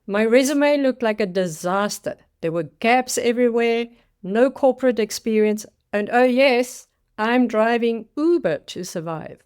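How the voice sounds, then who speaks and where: A woman's voice: a little high, animated, a little slow, darkish tone, smooth. A woman in her sixties with a South African accent, telling her own career story in a scripted, dramatic monologue as a career coach. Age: 60s. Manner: dramatic storytelling with acted-out quotes.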